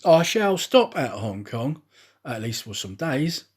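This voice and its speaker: A man's voice: medium pitch, very animated, takes it slowly, slightly rough, full character voice. A man voicing a scheming, anxious detective in a dramatic, exclamatory way for a storybook. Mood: feigned surprise. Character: scheming, anxious detective feigning innocent surprise. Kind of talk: acted audiobook dialogue.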